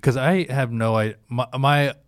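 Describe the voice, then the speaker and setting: A young man co-hosting a podcast, chatting casually and hesitantly, with many pauses and fillers. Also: medium pitch, smooth, no echo, clean studio sound. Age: young adult. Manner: hesitant, lots of uh and um. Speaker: podcast co-host. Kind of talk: casual chat.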